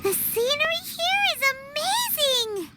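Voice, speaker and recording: A woman voicing a small, childlike, excitable fairy sidekick in a video game with a squeaky voice. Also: unhurried, a little hiss or hum, full character voice. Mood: delighted. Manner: exclamatory, refers to herself in third person. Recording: game dialogue over loud music.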